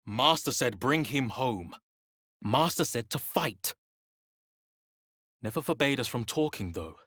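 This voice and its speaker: A man's voice: mid-range voice, expressive, slow pace, a bit bright, husky, dry recording, studio quality, exaggerated voice. An actor voicing a scornful, bitter male vampire spawn in a dramatic video game style, sneering in clipped sentences. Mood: grimly insistent.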